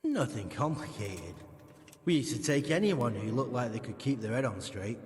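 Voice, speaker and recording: In a space with a long echo, a hard criminal recruiter talks as acted by a man. His middle-pitched voice is curt and coarse, with a wary, sardonic menace suited to a game cutscene. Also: animated, moderate pace, natural voice. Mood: stern, commanding. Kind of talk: dramatic video game cutscene acting. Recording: reverberant hall echo.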